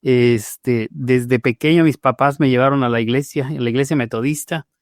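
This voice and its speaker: Mexican pastor, hesitant with many fillers. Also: male voice, mid-range voice, steady tone, normal speed, no room sound, studio quality. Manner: hesitant, frequent "eh" fillers and restarts. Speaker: pastor, guest. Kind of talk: testimony in a podcast interview.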